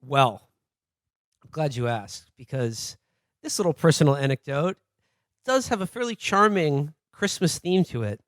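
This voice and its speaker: A man hosting a podcast, delivering scripted casual banter in a dry, measured manner. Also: on the high side, lively intonation, no room sound. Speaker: podcast host.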